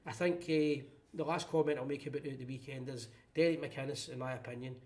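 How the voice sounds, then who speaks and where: A man's voice: medium pitch, steady tone, darkish tone. A Scottish man hosting a live fan phone-in show, blunt and opinionated in casual chat. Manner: blunt, opinionated, quick-talking.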